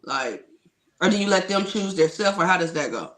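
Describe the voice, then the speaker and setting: A man's voice: high voice, lively intonation, normal speed, a bit bright. A man with an American accent hosting a casual interview, putting questions to guests. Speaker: podcast host.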